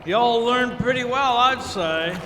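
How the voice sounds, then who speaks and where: A man playing a respectful, good-humoured gentleman serving as a boat hand in an old-time radio adventure. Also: very high voice, unhurried, full character voice. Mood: admiring. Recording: echoey hall, faint background noise.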